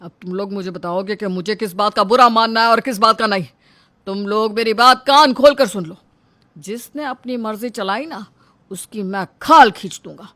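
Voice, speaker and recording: A performer voicing a tyrannical middle-aged father who is domineering, threatening and quick to shout in a melodramatic story. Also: medium pitch, lightly acted. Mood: angry, threatening. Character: tyrannical, short-tempered middle-aged father and shop owner. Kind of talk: melodramatic audio story dialogue.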